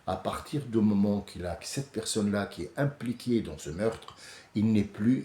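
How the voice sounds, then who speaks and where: A French man hosting a casual monologue, animated and often restarting, rising to heated outbursts. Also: on the low side. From France. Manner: many restarts and hesitations. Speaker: host. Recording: echoey room.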